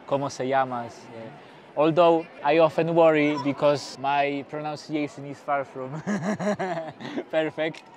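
A man's voice: on the high side, takes it slowly, darkish tone, a little hiss or hum. A man in his thirties from Poland, chatting cheerfully in a street interview and chuckling between phrases. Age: 30s. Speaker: interviewed pilgrim. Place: outdoor city square. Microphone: lapel mic.

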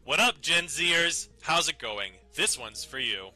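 A man's voice: on the high side, expressive, unhurried, pushed and bright, rough recording. A young man giving a dramatic reading of a visual novel, narrating and tossing in casual, irreverent asides, with music behind him. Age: young adult. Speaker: dramatic reader.

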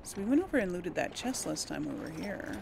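A woman's voice: on the low side, very animated, fairly quick, vocal fry, background noise. A woman giving casual role-play commentary on a video game, informal and musing aloud. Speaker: gaming channel host.

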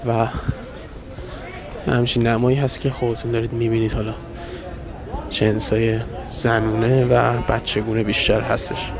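A man in a casual, musing walking-tour narration, talking with filler pauses as he walks a busy shopping street. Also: medium pitch, level tone, a crowd in the background. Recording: loud street traffic noise behind.

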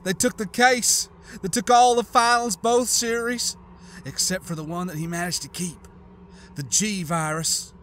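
A man voicing a grieving, half-mad woman scientist who snarls coldly and bursts into shouts. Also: high voice, unhurried, slight background noise, voice pushed up. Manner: cold, snarling, breaking into shouts. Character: grief-crazed, bitter woman scientist.

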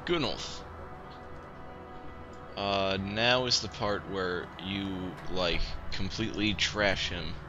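A man's voice: low-pitched, steady tone, takes it slowly, dull audio. A young man hosting a casual let's-play video, wry and barking playful orders at the characters, with chiptune music and a shower going in the background. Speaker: gaming video host. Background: chiptune music playing and a shower running.

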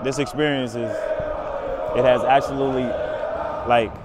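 A man's voice: mid-range voice, flat delivery, moderate pace. A young man, a basketball player, chatting casually in slang and often repeating his phrases. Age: young adult. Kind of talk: casual chat. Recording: noisy car and outdoor stadium, crowd.

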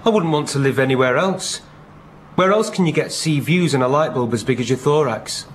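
An actor in a comic radio play, playing an eccentric, evasive recluse of a rock star who poses as a lighthouse keeper, with a dry, deadpan manner. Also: male voice, medium pitch, fairly quick, slight background noise, close to an everyday voice. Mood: dry, matter-of-fact.

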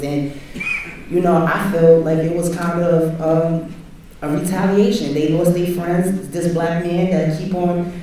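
A woman's voice: on the low side, warm tone, slight background noise. A young woman speaking earnestly to a lecture hall audience, often restarting her sentences. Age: young adult. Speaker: panelist. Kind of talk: impassioned speech. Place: large lecture hall, standing at the front. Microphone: handheld mic. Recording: very echoey hall, mic from far off.

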